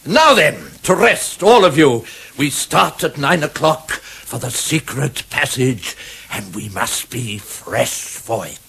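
An actor playing a determined British animal adventurer rallying friends in a 1970s radio musical, emphatic and declamatory. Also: male voice, medium pitch, expressive, slow, creaky, fairly bright tone, breathy edge, full character voice. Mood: commanding.

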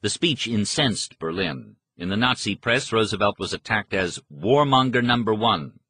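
Bright-voiced man narrating history. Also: fairly low pitch, expressive, slightly rough. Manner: measured, precise, formal reading. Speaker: audiobook narrator. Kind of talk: scripted narration of a history book.

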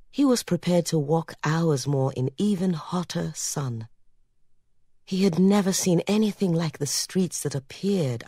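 Husky, low-pitched woman narrating a novel. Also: warm tone, dry recording, studio quality. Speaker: audiobook narrator. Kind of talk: scripted literary narration.

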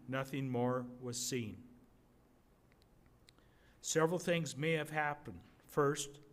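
An older man voicing a steady, earnest soldier son writing home from war. He has a medium-pitched voice and reads the letter aloud in a readers theater. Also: close to an everyday voice. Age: 60s or older. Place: indoor museum gallery at a podium. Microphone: podium microphone.

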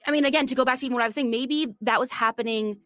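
A woman's voice: on the high side, very fast, fairly bright tone. A woman hosting a true crime podcast, retelling a case in a chatty, storytelling way. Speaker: podcast host.

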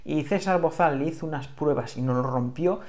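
A man's voice: a little high, moderate pace, a little mellow. A man with an accent from Spain, explaining things in a casual hands-on review, conversational and a little hesitant. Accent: Spanish from Spain. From Galicia, Spain. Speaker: bushcraft knife reviewer.

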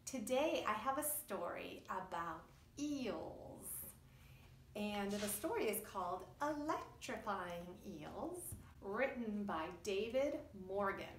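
A middle-aged woman, a preschool teacher reading aloud and explaining things to young children in an animated way. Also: medium pitch, a little hiss or hum. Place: indoors, wood-panelled room by a puppet stage. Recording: roomy echo, distant microphone.

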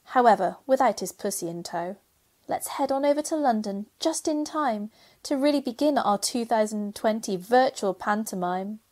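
Woman playing cheeky, high-voiced pantomime good fairy. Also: expressive, normal speed, a little mellow, natural voice. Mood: cheery, cheeky. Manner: cheeky, rhyming, addressing the audience. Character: bright, bossy good fairy spirit, pantomime heroine's helper. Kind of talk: pantomime radio play narration and acting.

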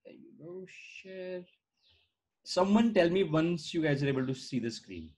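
A man in his thirties speaks with a slightly raised pitch, talking through a screen-shared demo on a video call. He keeps it conversational, full of "uh" and "right?" tags. His is Gujarati, and it shows. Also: expressive, a little slow, mellow, a little hiss or hum. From India. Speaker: startup founder giving a product demo. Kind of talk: screen-share product walkthrough. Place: indoor room on a video call. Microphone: video call webcam mic.

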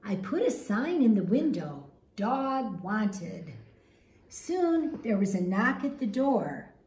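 A woman's voice: on the high side, very animated, a little slow, a little mellow, slight background noise. A woman in her fifties reading a story aloud to children, with dramatic emphasis and questions to the listeners. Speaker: teacher reading a picture book to children. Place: large open living room at home, indoors. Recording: roomy home echo.

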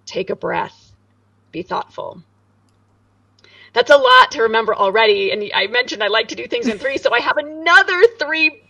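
A woman in her forties speaking in an energetic, emphatic way as an interview guest over a video call. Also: gravelly, dry recording, recorded from a distance, dull audio. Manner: animated, emphatic, swoops high and low. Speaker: guest, corporate director. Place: home room, indoors. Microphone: studio mic on boom arm, video call.

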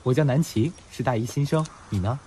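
An actor playing a righteous, kind young male university student in a radio drama, with a husky voice. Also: medium pitch, a little fast, dark tone, a little hiss or hum, close to an everyday voice. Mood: concerned, friendly. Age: young adult. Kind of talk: Mandarin radio drama acting.

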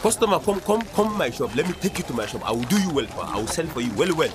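A man's voice: fairly high pitch, very animated, quick pace, background noise. A man with a Northern Nigerian accent, playing a skeptical market trader who questions and scolds his friend in a radio drama. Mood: hawking. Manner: reproachful, questioning. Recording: busy market crowd behind. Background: market crowd chatter.

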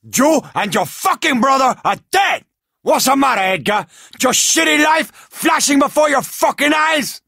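Furious Cuban-accented crime boss, very high strained pitch, gravelly fry, video game taunts, menacing, emphatic. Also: male voice, animated, measured pace, bright, forceful tone, no echo, clean studio sound, full character voice. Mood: furious, threatening. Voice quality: raspy. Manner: profane, threatening, emphatic. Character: hot-tempered, vengeful Cuban gangster drug lord.

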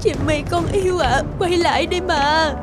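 Cartoon young wife and mother: very high pitch, distressed, earnest and grateful, music underneath. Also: normal speed. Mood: sorrowful. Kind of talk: dubbed cartoon acting. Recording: music behind the voice.

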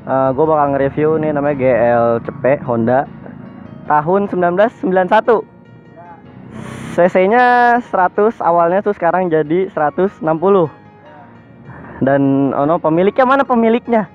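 A man's voice: fairly high pitch, normal speed, phone-quality sound, faint music behind. A young man, chatting casually while riding a motorcycle through city streets at night. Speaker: motovlogger. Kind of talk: casual slangy motovlog chat.

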